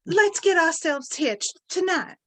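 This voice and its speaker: Slow, swooping, cooing seductress. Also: female voice, very high voice, animated, full character voice. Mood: sugary, determined. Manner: cooing, theatrical. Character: clingy, cooing seductress, relentless and sugary. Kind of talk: old-time radio drama acting.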